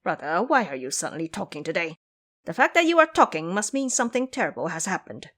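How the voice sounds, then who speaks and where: A woman's voice: on the low side, sing-song, quick pace, fairly bright tone. A woman voicing a cowardly, lazy male pig-man who blusters and complains in an audio drama. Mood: alarmed. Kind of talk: audio drama character acting.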